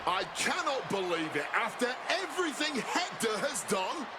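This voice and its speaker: A man playing a dramatic, excitable play-by-play commentator calling pro wrestling in a video game. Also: very high voice, moderate pace. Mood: shocked. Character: excitable male wrestling announcer, dramatic and shocked. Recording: loud arena noise behind the voice. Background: noisy wrestling arena.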